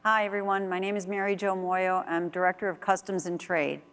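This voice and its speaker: Low, flat-voiced woman in a hall. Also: fairly quick. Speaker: customs director. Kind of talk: conference self-introduction. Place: large conference hall. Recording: long reverb of a big hall.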